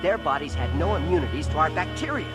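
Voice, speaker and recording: A woman's voice: sits low, expressive, fast talker. A woman playing a young survivor of an alien invasion in an audio drama, breathless, exclamatory and theatrical. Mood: excited relief. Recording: dramatic music behind.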